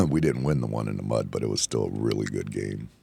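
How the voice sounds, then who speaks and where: A hoarse man hosting a casual bar-talk show, rambling and excitable, often repeating himself. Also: a bass voice, a little fast, dry recording. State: hoarse from yelling. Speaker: podcast host. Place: indoor room, bar setting.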